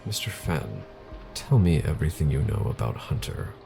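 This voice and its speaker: A man acting a formidable, coolly composed corporate scientist in an urban fantasy audio drama, terse, measured and gravelly. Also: very animated, dark tone, soft background music, exaggerated voice. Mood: cool, imposing. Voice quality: very deep, gravelly.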